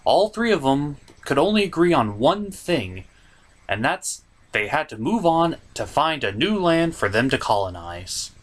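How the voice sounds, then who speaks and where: A man voicing a theatrical, easily exasperated gentleman puppet who hosts a scripted comedic cartoon review. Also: a little high, very animated, measured pace. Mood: wry recapping. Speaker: host of an animation review show.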